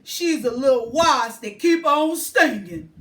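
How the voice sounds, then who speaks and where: A woman voicing a calm man with a deep, rumbling, musical voice, speaking slowly and gently in a storybook reading. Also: full character voice. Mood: easygoing. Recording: distant mic.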